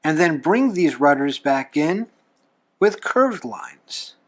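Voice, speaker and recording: A man hosting a drawing tutorial, explaining each step in a folksy, unhurried way with pauses. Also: fairly high pitch, sing-song. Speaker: host of a drawing tutorial show, reverend. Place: talking from behind a camera while drawing.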